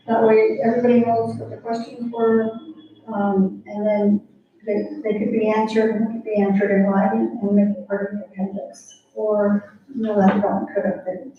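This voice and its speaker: A woman's voice: mid-range voice, flat delivery, moderate pace. A woman planning consultant presents to a city commission, hesitant and full of fillers and restarts. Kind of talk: public meeting presentation. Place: council chamber. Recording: echoey chamber, distant mic, dull sound.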